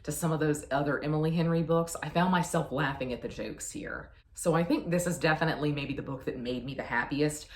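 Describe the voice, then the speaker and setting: Low-voiced woman, casual book review chat, effusive, emphatic, playfully self-deprecating, echoey room sound. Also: brisk, few pauses.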